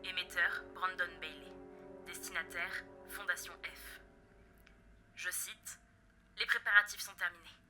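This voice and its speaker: A woman's voice: on the low side, flat delivery, measured pace, long pauses, music in the background. A woman reading official document excerpts from a script in a formal, clipped, list-like way.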